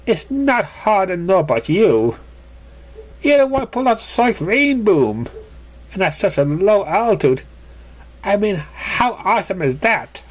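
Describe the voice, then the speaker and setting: A reader putting on a silly female pegasus pony for a cartoon fan tale, well above normal pitch. She gushes breezily and sounds exuberant and fun. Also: big pitch swings, unhurried. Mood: admiring. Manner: breezy, gushing.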